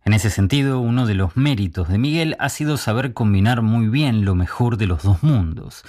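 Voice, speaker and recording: A man narrating a radio feature in a measured, polished scripted style. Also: fairly low pitch, lively intonation, noisy background. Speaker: radio reporter.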